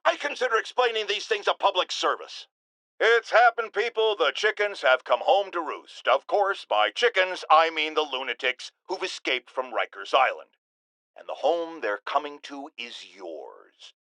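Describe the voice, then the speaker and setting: Video game radio ranter: irascible middle-aged man, high forceful delivery, bombastic, theatrical, always indignant at callers and listeners. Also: gravelly, dry recording, heavily acted. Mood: scornful, exasperated. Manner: theatrical, indignant, sarcastic, emphatic. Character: blustering, irascible middle-aged male tabloid radio host. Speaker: call-in radio show host. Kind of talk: bombastic talk-radio ranting.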